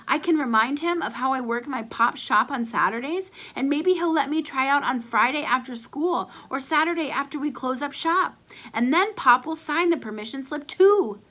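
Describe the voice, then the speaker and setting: A woman's voice: high-pitched, bright, forceful tone, pitched above the performer's own voice, full character voice. A woman voicing an eager, scheming schoolboy in a children's storybook. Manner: eager, insistent. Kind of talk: children's storybook read-aloud.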